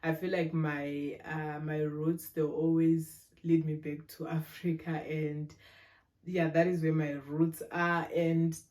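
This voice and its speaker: A woman in her twenties from Zimbabwe answers interview questions in a low, warm voice. Her pitch stays fairly even, and she talks in a thoughtful, measured way with frequent fillers. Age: 20s. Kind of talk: relaxed conversational interview answers.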